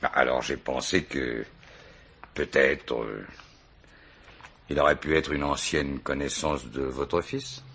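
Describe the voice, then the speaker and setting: Very deep-voiced police commissaire. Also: male voice, fairly even intonation, slow, pauses often, a bit bright, slightly rough, exaggerated voice. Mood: measured probing. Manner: hesitant polite probing, colloquial, chuckling. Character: genial, persistent, plain-spoken police commissaire. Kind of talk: French radio mystery drama acting.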